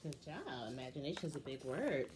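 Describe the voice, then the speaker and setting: A woman's voice: a bass voice, expressive, fairly quick, a little hiss or hum. A woman in her thirties at home in a bedroom, patiently guiding and correcting her daughter during storytime. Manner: patient, corrects words gently. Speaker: mother reading with her daughter. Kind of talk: casual storytime coaching. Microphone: webcam or phone camera close by.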